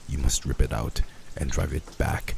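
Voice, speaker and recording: A man's voice: very deep voice, normal speed, vocal fry, background noise, heavily acted. A man playing a heartless vampire lord and crime boss in a fantasy audio roleplay, speaking in a pompous, archaic, menacing way. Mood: cold, commanding.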